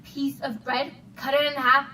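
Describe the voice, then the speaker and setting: High young Mexican woman, rambling. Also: flat delivery, unhurried. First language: Spanish. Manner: rambling, filler-heavy storytelling. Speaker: band member vlogging. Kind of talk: casual vlog chat. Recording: distant mic with loud noise.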